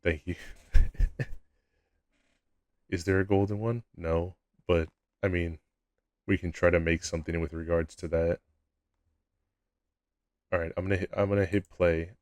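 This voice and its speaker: Low-voiced young man streaming. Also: fairly even intonation, unhurried, pauses often, a little mellow, no echo. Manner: laid-back, rambling with restarts. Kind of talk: casual live-stream chat, reading chat aloud.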